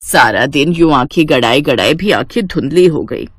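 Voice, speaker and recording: A woman's voice: low voice, expressive, moderate pace, slightly rough, dry recording, studio quality. A woman narrating a scripted moral story as a storyteller.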